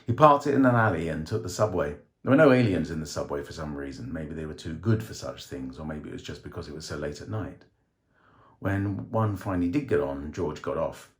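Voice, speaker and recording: A man's voice: very deep voice, expressive, a little fast. A man reading a short story aloud as a dramatic scripted narration.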